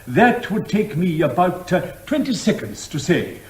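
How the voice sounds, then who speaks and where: Guy as grumpy judge, dry sarcasm, voice a bit high and very up and down, echoey courtroom drama. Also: big pitch swings, unhurried, a little hiss or hum. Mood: exasperated, sardonic. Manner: curt, sarcastic, authoritative. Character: testy, dry, sardonic male county court judge.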